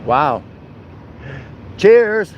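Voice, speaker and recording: A man with a somewhat high voice and an American accent talks in a loose, colloquial way, like he is livestreaming to regular viewers. He is folksy and jokey, and faint street noise sits behind him outdoors. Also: big pitch swings, very slow, dull audio. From Milwaukee, United States. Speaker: walking vlog host. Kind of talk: casual livestream chat to viewers. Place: outdoors at a city food truck.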